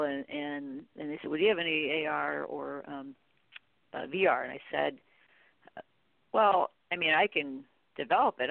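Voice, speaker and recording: A woman's voice: sits low, creaky, dry recording. A middle-aged woman speaking as a guest expert, answering conversationally with informal, rambling anecdotes and acted-out bits of dialogue. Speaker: guest expert, training company CEO. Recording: tinny, dry phone-line audio.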